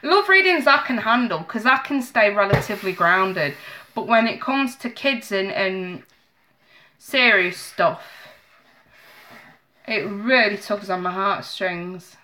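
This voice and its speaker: A woman's voice: mid-range voice, slow pace, pushed and bright. A woman in her thirties with a stuffy, bunged-up nose, chatting emotionally and apologetically to viewers over a live stream from her small home office. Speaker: tarot reader streaming live. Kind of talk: casual live-stream chat. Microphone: webcam at desk.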